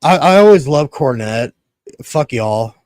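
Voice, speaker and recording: A man's voice: medium pitch, unhurried, no echo. A man in his early thirties chatting casually as a podcast host, swearing freely and acting out his reactions as he tells stories. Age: early 30s. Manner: foul-mouthed, animated storytelling with quoted reactions. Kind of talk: casual chat, ranting and storytelling.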